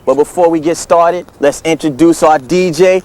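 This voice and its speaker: Brash hip-hop fishing host, male actor, higher-pitched, slangy comedy banter. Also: normal speed, a little mellow, close to an everyday voice. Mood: hyped. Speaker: show host.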